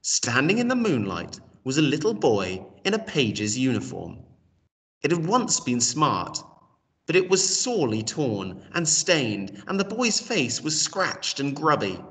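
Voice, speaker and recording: A man reading a children's story aloud in an expressive storytelling style. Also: mid-range voice, unhurried, distant mic. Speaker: storytelling reader.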